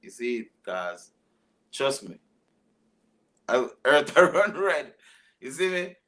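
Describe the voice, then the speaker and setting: A man's voice: medium pitch, big pitch swings, slow pace, fairly bright tone. A man chatting casually and reacting as a panellist, drawing out and repeating his words. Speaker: podcast panellist.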